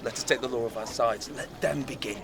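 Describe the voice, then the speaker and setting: An actor playing a swaggering, bawdy young servant who is spoiling for a fight, with boisterous delivery in a Shakespearean audio drama. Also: male voice, mid-range voice, a little fast, gravelly, a crowd in the background, lightly acted. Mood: goading.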